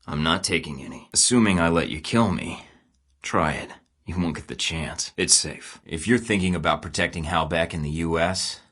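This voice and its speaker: A man's voice: deep voice, a bit bright, breathy edge. An actor playing a tough, sarcastic male field agent in a video game, speaking in dry, clipped lines. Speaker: video game protagonist, a spy.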